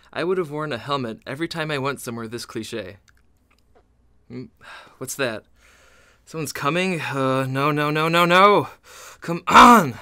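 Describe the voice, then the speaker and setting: A man's voice: medium pitch, bright, forceful tone, clear, resonant tone, no room sound, close to an everyday voice. A man playing a fussy, insecure amusement-park ghost in comedic radio theatre, sardonic, grumbling and easily flustered. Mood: exasperated.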